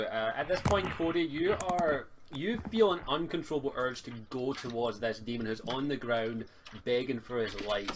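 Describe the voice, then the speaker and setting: A Scottish man acts as game master in an informal, improvised style. He pauses and restarts as he narrates at a middling pitch. Faint noise and clicks sit behind his voice.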